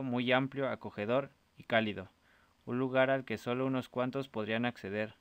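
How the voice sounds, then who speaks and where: A man's voice: mid-range voice, clear, resonant tone, dry recording. A man narrating a scripted short story as a storyteller.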